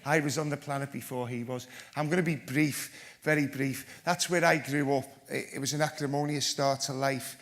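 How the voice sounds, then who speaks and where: A man's voice: medium pitch, steady tone, moderate pace, hardly any pauses, fairly bright tone. A man giving a conference talk, telling his own story in a blunt, self-deprecating and joking way. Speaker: panel speaker, former PE teacher.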